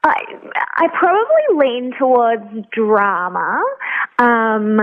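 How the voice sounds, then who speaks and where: A woman's voice: a little high, sing-song, slow. A woman speaking as an interview guest over a telephone, chatting casually and thoughtfully with frequent hesitations. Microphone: phone call. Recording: thin telephone line.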